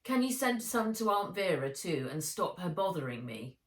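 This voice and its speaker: A woman's voice: fairly low pitch, very animated, moderate pace, distant mic. Woman reading as an earnest, troubled young girl. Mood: pleading. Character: troubled, determined young orphan girl heroine. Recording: echoey room.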